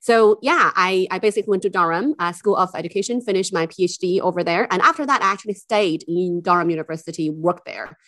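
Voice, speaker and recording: A woman from China talks through her career as a podcast guest, in a lowish voice. She is lively and keen, with lots of fillers and restarts. The sound is dry and close. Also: fast, few pauses, no echo. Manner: chatty and enthusiastic, many fillers and restarts. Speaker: guest, university intercultural officer. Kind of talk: casual interview chat.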